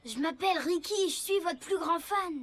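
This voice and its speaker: High-voiced, earnest boy motorbike. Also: normal speed, crowd noise. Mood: starstruck. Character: small young male rescue motorbike, earnest, determined leader. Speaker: cartoon character voice actor. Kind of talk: animated children's cartoon dubbing. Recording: music behind the voice.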